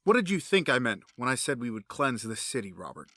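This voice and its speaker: A man playing a cold, grieving villain in an audio drama, menacing, sardonic and quick to justify himself. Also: medium pitch, big pitch swings, pushed and bright, dry recording, clean studio sound. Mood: cold, cynical. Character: cold, obsessed male villain, a grieving widower running experiments.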